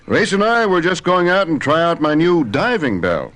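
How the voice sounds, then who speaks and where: Scientist-inventor father, genial and assured, fairly high voice with lively intonation, cartoon adventure drama. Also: normal speed. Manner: assured, fatherly, clearly enunciated.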